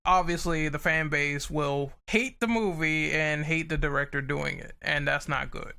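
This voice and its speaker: A man with a fairly high, very bright and clear voice hosts a casual panel discussion. He speaks informally and freely gives his opinions. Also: fairly even intonation, moderate pace. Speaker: podcast host.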